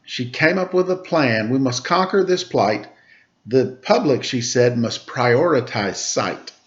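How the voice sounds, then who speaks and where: A man reads a rhyming children's story aloud with a bouncing, sing-song cadence, lifting his voice for the quoted lines. His voice sits at a middle pitch. The recording sounds a little dull and muffled. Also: lively intonation, measured pace. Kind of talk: rhyming picture-book read-aloud.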